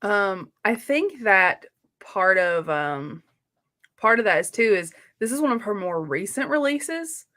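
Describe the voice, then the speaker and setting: A woman's voice: medium pitch, sing-song, a little slow, bright, forceful tone. Woman cohosting a live book chat, rambly and super casual, says like a lot, blunt about stuff. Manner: effusive, rambling, uses lots of "like". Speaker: co-host of a live book readalong.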